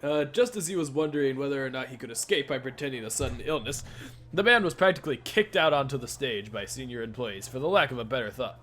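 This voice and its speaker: A man's voice: mid-range voice, fast, fairly bright tone. A man narrating a story aloud in an informal cold read, stumbling over words and correcting himself. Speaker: narrator in a fan reading of a visual novel.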